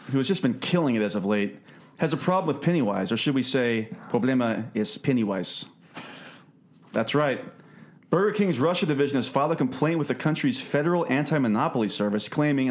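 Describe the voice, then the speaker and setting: A man co-hosting a podcast, chatting casually about films and reading out entertainment news in a wry, mock-formal way. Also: mid-range voice.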